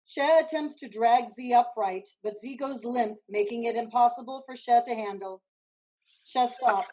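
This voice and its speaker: A woman's voice: fairly high pitch, moderate pace, darkish tone, rough recording. A woman reading stage directions as scripted narration for a play.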